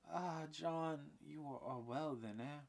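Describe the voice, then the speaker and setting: A man's voice: mid-range voice, steady tone, slow pace, fairly bright tone, husky, pitched above the performer's own voice. A man voicing a frail, devout old woman who refuses to tell a lie, in a read-aloud play. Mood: pleading. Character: frail old woman, gentle but unbending.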